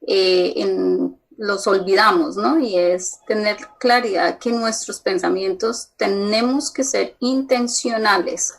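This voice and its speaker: A woman thanking a speaker on a video call, halting with many fillers and restarts. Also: medium pitch, gravelly, poor audio.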